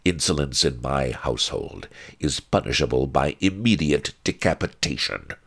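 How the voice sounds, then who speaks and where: A man's voice: rumbling low, big pitch swings, normal speed, creaky, fairly bright tone, heavily acted. A man reading an audiobook and voicing a huge, cold, tyrannical old grandmother who talks in curt, commanding growls.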